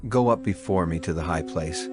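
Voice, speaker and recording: A man's voice: sits low, normal speed, lightly acted. An actor playing a stern, authoritative male prophet in a dramatized scripture, solemn and declamatory. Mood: assured, solemn. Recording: music behind the voice.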